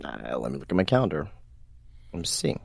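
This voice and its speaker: A man's voice: a bass voice, sing-song, fairly quick, gravelly, breathy edge, dry recording. A man co-hosting a podcast, talking casually with lots of stammered restarts and fillers. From Texas. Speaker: podcast co-host.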